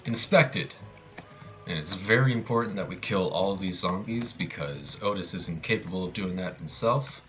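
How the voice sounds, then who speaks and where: A man's voice: sits low, lively intonation, normal speed, noisy background. A man giving casual live commentary on his own video game speedrun, with playful, joking asides.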